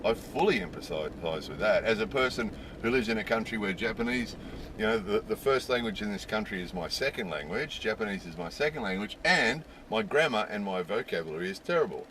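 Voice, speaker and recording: A man's voice: on the low side, a bit bright. A middle-aged man, chatting casually to camera in a rambling way with lots of "you know". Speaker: vlogger living in Japan. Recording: outdoor street noise.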